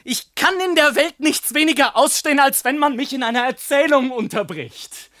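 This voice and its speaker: A man acting a frail, harried gentleman writer in a radio play, whimsical, indignant and theatrically digressive, on an old recording. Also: extremely high pitch, normal speed, no echo, studio quality. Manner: theatrical, digressive, excitable, grumbling.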